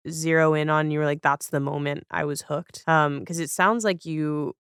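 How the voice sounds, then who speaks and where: Clear-voiced man hosting a podcast interview. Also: fairly high pitch, fairly even intonation, moderate pace, a bit bright, dry recording, clean studio sound. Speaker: podcast host. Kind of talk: conversational interviewing.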